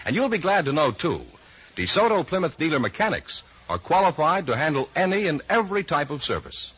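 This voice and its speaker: A man's voice: a little high, big pitch swings, fairly quick, fairly bright tone. An American man announcing in polished, emphatic 1950s radio style, reading scripted sponsor messages. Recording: dull, raspy vintage radio broadcast.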